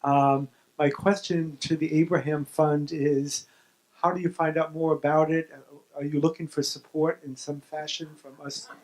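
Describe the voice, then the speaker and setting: Audience man, warm mellow voice, pretty flat, polite thanks then a question. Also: fairly high pitch, normal speed, low-quality recording. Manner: courteous, appreciative. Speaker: audience member asking a question.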